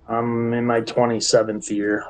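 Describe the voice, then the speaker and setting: A middle-aged man with a Wisconsin accent, a chief deputy sheriff giving short formal sworn answers over a video call, his voice a little raspy from talking all day. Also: medium pitch, little pitch movement, unhurried, slight background noise, low-quality recording.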